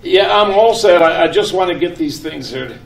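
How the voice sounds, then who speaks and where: A man's voice: high-pitched, animated, moderate pace, vocal fry. Man acting a flustered, stammering husband, echoey distant recording. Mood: harried, flustered. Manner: stammering, repeating himself. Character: flustered, harried husband rushing to catch a taxi. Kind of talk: live old-time radio comedy sketch reading. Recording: echoey room, distant mic, faint noise.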